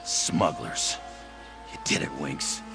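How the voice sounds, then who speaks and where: A man voicing an earnest adventurer devoted to his cat in a video game, with a deep, gravelly voice. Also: lively intonation, takes it slowly, over music, heavily acted.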